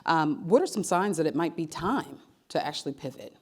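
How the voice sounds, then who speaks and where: Low-pitched woman host, upbeat moderator of a panel. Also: expressive, fairly quick, a little mellow, breathy edge.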